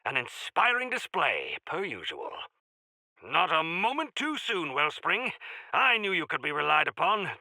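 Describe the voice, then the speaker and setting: A pompous yet genial game quest-giver, with a piercingly bright, forceful voice that swoops dramatically from phrase to phrase. He speaks in florid, courtly flourishes with dry asides, and the sound is close and dry. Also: on the high side, huge pitch swings, measured pace, vocal fry, dry recording, exaggerated voice, poor audio. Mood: courtly, approving. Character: genial pompous male quest-giver of a mountain order, theatrically grateful. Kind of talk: theatrical video game character acting.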